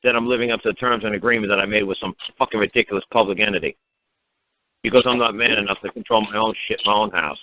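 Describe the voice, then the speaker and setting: A man's voice: fairly low pitch, fast. A man ranting informal advice on a phone-in talk, blunt and emphatic, swearing freely and firing off mocking rhetorical questions. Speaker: talk show speaker advising a caller. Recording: narrow-band internet radio call.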